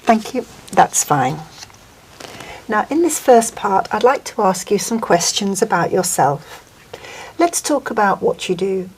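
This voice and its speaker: A woman examiner asking scripted questions in a measured, formal, polite way. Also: medium pitch, lively intonation, a little hiss or hum.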